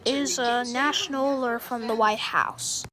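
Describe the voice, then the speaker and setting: A woman's voice: a little high, steady tone, measured pace, a loud crowd, natural voice. A woman playing a grave president who reads emergency national alert updates in formal announcer phrasing. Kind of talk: scripted emergency alert narration. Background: loud music.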